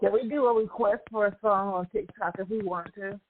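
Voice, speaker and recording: A woman's voice: medium pitch, steady tone, a little fast. A woman co-host, playful and coaxing, in a casual chat. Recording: phone-line call audio with faint hiss.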